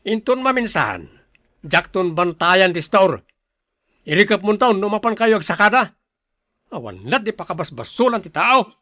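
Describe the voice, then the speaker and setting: A man's voice: sits high, big pitch swings, normal speed, pauses often, pushed and bright, no room sound, heavily acted. A man plays a short-tempered Ilocano older brother in a radio-style family drama. He finds fault with everyone and speaks bluntly, like someone used to scolding. The sound is dry, with very little room in it. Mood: angry, scolding. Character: irritable, fault-finding older brother and shopkeeper.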